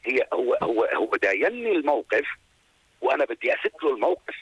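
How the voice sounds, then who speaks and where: A middle-aged man with a Jordanian Arabic accent giving his opinion casually as a caller, halting and repeating words for emphasis. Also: mid-range voice, big pitch swings, vocal fry, fairly bright tone, husky, no echo, dull audio. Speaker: radio show caller. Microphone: phone call.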